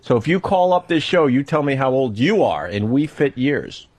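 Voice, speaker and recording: A man in his thirties hosting a radio talk show, excitable and jokey in casual studio banter. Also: medium pitch. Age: 30s. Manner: excitable, jokey, quick to laugh.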